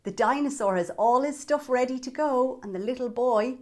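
A woman in her thirties presents children's storytime in an animated, exaggerated, sing-song way aimed at young children. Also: high-pitched, moderate pace. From Ireland. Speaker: children's storytime host and entertainer. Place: small indoor set with fabric backdrop.

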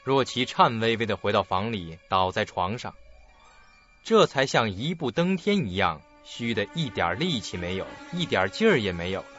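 A man's voice: lowish voice, very animated, normal speed, a bit bright. A man narrating a suspense radio drama in a dramatic storytelling style. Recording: dull lo-fi audio, clear music behind.

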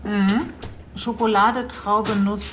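An actress playing a laconic, unfazed forensic pathologist with a deadpan delivery in a TV drama. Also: female voice, medium pitch, takes it slowly, a little hiss or hum, close to an everyday voice. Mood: matter-of-fact. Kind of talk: naturalistic TV drama acting.

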